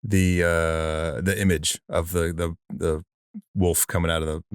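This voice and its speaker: Low-voiced man telling a rambling story. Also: little pitch movement, unhurried, clean tone, no room sound. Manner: rambling with many fillers and restarts, laughs while telling stories. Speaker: podcast co-host. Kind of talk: casual chat.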